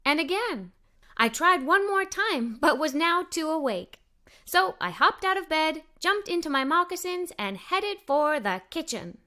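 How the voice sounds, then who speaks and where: Woman, children's storyteller, bright voice, very lively intonation, expressive cheerful young-girl narration. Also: high-pitched. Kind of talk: scripted read-aloud storytelling for kids.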